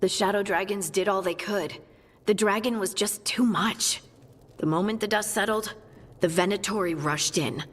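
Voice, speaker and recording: A level-headed fantasy adventurer woman, voiced by an actress, speaking in a husky mid-range voice. It rings in a long reverb with soft music behind. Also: medium pitch, moderate pace, lightly acted. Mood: matter-of-fact. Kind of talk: video game dialogue.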